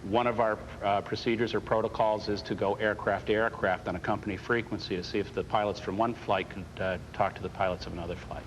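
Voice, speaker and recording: A man's voice: lowish voice, fairly even intonation, fast, hardly any pauses. A man explaining procedures matter-of-factly in an interview. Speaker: air traffic controller being interviewed. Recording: long reverb with faint noise.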